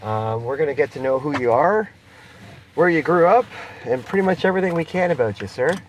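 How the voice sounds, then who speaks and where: A man's voice: a little high, animated, fairly quick. Canadian man interviewing casually in a noisy car. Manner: informal, chummy slang. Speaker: interviewer host. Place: inside a car being driven. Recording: noisy moving vehicle interior.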